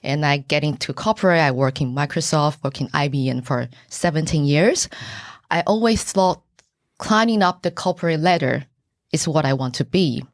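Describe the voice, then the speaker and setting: Low-voiced Taiwanese woman, earnest. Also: no echo, studio quality. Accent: Taiwanese Mandarin. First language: Mandarin. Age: middle-aged. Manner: earnest, pausing, searching for words. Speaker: buyer's agent, podcast guest. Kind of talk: casual interview answers.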